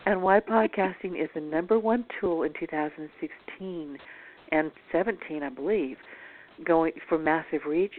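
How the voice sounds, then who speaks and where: Hoarse woman hosting over a phone line. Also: low-pitched. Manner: chatty, laughs often, dramatic asides. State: hoarse, voice in rough shape. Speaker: radio show host. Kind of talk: interview radio chat.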